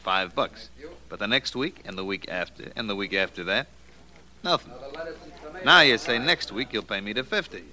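A man's voice: fairly low pitch, animated, moderate pace, sharp tone. An actor playing a coolly menacing male loan shark in a 1940s radio crime drama, talking tough street slang. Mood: calm menace.

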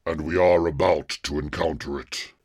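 A man voicing a stately, commanding troll king who speaks slowly and gravely. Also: a bass voice, fairly even intonation, a little mellow, no echo, clean studio sound. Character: deep-voiced regal troll king, commanding.

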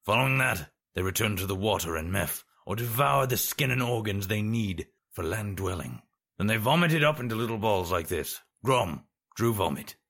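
Man voicing a gravelly, stern old sorcerer. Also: lowish voice, expressive, fairly bright tone, pitched above the performer's own voice. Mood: stern lecturing. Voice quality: gravelly, raspy. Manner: measured, lecturing, emphatic. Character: stern learned old sorcerer teaching his pupils.

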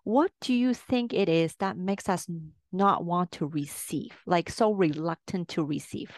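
Woman host from Hong Kong, lower voice, encouraging questions, dry close mic. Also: measured pace, dry recording. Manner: encouraging, asks open questions. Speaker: podcast host. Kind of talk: interview hosting.

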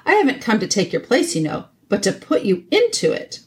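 A woman voicing a calm, courteous boy king with an assured, direct manner. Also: a little high, a little fast, pitched above the performer's own voice. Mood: composed.

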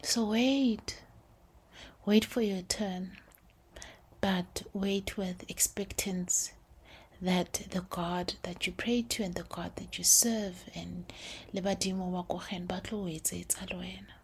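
A woman hosting a podcast, speaking softly and calmly in a reflective faith talk, slipping between English and Sesotho phrases. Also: fairly low pitch, takes it slowly, breathy edge. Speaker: podcast host.

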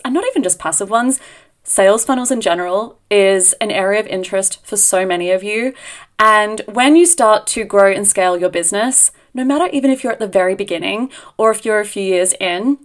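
A woman's voice: mid-range voice, fairly quick. Female podcast host, mid-range voice, effusive and conversational delivery. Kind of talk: podcast introduction and interview chat.

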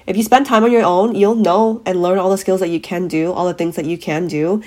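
A woman hosting a podcast, her voice a bit raspy from a cold coming on, giving an earnest, emphatic motivational monologue to her listeners. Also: lowish voice, fairly even intonation, brisk, dark tone. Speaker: podcast host.

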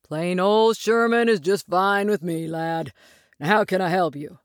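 A woman voicing an ancient, wise male sequoia in a storybook reading, unhurried and self-amused. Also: on the low side, no room sound, studio quality, natural voice. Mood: kindly. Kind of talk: storybook character voice.

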